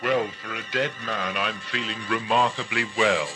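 A man playing a commanding, self-assured time traveller in a sci-fi audio drama, firm and deliberate. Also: lowish voice, steady tone, over music, lightly acted.

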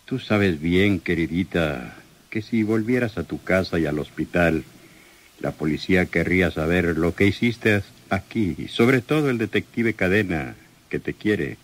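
An actor playing a domineering, smooth-talking male crime boss with condescending manner in an old radio soap opera. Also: low voice, measured pace. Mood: possessive. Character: menacing, possessive male crime boss, self-satisfied suitor.

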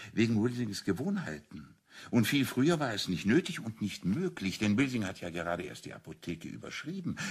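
An actor playing a shrewd priest and amateur detective in a German radio crime drama, explaining deliberately and with self-assurance. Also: male voice, low voice, breathy edge, full character voice. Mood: matter-of-fact.

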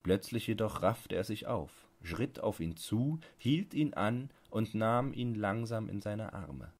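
A man narrating a literary bedtime audiobook, reading with measured, deliberate phrasing. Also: on the low side, lively intonation, darkish tone.

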